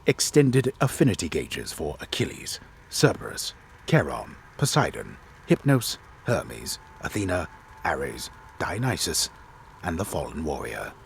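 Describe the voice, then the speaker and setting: Deep, theatrical male storyteller. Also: very animated, vocal fry, slight background noise, full character voice. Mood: grave, theatrical. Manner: theatrical, deliberate, solemn delivery. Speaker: narrator. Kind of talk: dramatic reading, scripted game narration.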